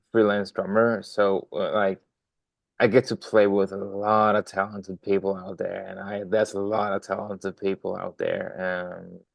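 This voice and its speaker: Young Danish man, lowish voice, dry close sound, rambling with fillers. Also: vocal fry, no echo. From Denmark. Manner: many fillers and restarts, friendly rambling. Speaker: guest drummer. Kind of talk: casual interview talk.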